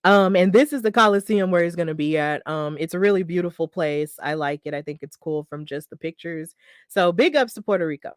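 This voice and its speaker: A woman's voice: fairly low pitch, brisk, dry recording, clean studio sound. A woman hosting a solo wrestling news podcast, talking in a chatty, upbeat way with lots of fillers and self-corrections. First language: English. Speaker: podcast host.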